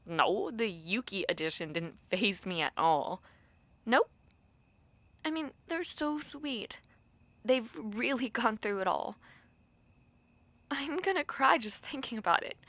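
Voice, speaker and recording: Woman playing a bright-voiced, friendly college girl. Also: a little high, no room sound, full character voice. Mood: wistful, gently teasing, then tearful, sentimental. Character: friendly, sentimental young female university student, close friend. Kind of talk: intimate audio roleplay, casual one-sided chat.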